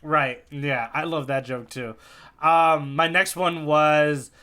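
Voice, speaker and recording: A man's voice: a little high, steady tone, takes it slowly, pushed and bright. A man co-hosting a podcast in informal, casual chat, circling around his point with repeated words. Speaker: podcast co-host.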